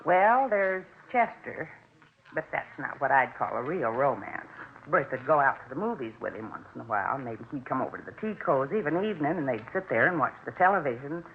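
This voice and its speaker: A woman's voice: a bass voice, very animated, fairly quick, a little hiss or hum, exaggerated voice. An actress playing a chatty, insistent older American neighbour woman in a 1950s radio drama, folksy and fretful, on a tinny old recording. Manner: talkative, folksy, emphatic.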